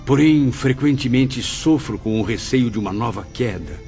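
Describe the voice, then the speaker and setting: A man's voice: mid-range voice, moderate pace, darkish tone, muffled recording, soft background music, close to an everyday voice. An actor playing a humble, fearful male spirit in an audio drama, speaking in a solemn, formal, heartfelt way. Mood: resolute, troubled.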